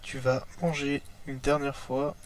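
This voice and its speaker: A young man with a cold and a congested throat talks chattily over his game, addressing both his character and the viewers. His voice sits in a middle pitch. A faint background hiss runs under the recording. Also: little pitch movement, a little slow. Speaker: let's play host. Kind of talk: casual gameplay commentary.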